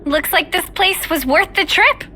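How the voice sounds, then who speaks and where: A woman's voice: very high voice, measured pace, sharp tone, slight background noise, exaggerated voice. An actress playing an earnest young woman soldier in a game cutscene. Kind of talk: video game cutscene acting.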